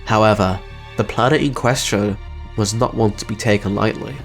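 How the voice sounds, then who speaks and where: A man's voice: lowish voice, fairly even intonation, moderate pace, faint music behind. A man giving scripted narration as the narrator and creator of a story channel.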